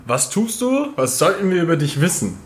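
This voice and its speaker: A man's voice: high-pitched, sing-song, moderate pace. A man chatting casually as a podcast co-host, dropping English slang into his talk. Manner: peppers speech with English slang. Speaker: podcast co-host, calisthenics coach.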